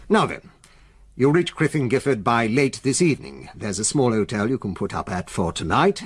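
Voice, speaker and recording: Older english solicitor boss in a radio drama, genial n brisk, bit husky, very lively intonation. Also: male voice, medium pitch. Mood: breezy, evasive. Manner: avuncular, hesitant pauses, chuckling, old-fashioned turns of phrase.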